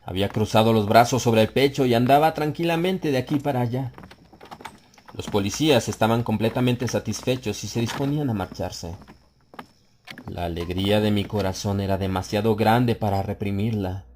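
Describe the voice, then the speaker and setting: A man reading a horror story as an audiobook narrator, theatrical, building tension with swells in pitch and loudness. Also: normal speed, a little hiss or hum. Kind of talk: dramatic scripted narration.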